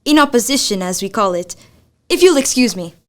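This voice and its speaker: A woman's voice: a little high, animated, a little fast, fairly bright tone. A woman playing a precise observatory assistant in a radio play, describing things formally and matter-of-factly.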